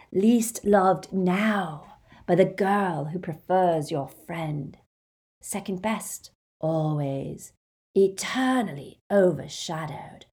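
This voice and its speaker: A woman voicing an evil male spirit trapped in a locket, talking in a slow, cruel, hissing whisper. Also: sits low, darkish tone, slightly rough, exaggerated voice.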